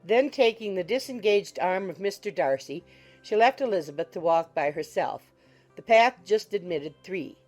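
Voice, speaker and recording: A woman's voice: medium pitch, animated, normal speed. A woman reading scripted literary narration as an audiobook narrator. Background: soft music.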